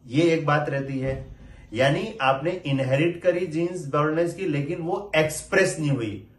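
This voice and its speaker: Doctor explaining in a roomy space. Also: male voice, a little high, distant mic. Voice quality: mixes English medical terms into Hindi speech, measured explanatory manner. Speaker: doctor explaining hair loss. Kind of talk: educational talk. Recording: roomy sound, voice set back from the mic.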